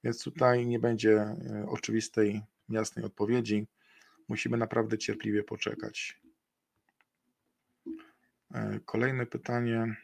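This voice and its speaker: Gravelly man presenting an online webinar. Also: fairly low pitch, little pitch movement, measured pace, pauses often, darkish tone. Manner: hesitant, many fillers and restarts. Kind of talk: investment webinar talk.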